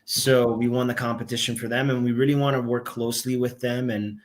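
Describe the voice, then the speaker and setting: Relaxed rambling man. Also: medium pitch, brisk. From Miami, Florida. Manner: relaxed, rambling, many fillers and restarts. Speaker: band member, guest. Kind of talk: casual interview talk.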